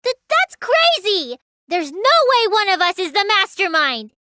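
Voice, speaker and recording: A woman's voice: very high-pitched, big pitch swings, unhurried, bright, forceful tone, dry recording, studio quality, heavily acted. A woman voicing a small, childlike teenage girl mage in an anime video game, earnest and pouty, stammering when flustered. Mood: upset denial.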